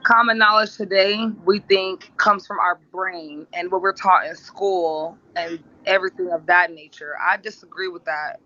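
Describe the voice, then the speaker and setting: A woman's voice: fairly low pitch, steady tone, moderate pace, few pauses, sharp tone. A woman talking at length in an interview clip, insistent and assertive, repeating her points. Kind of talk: rambling monologue. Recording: dull lo-fi clip.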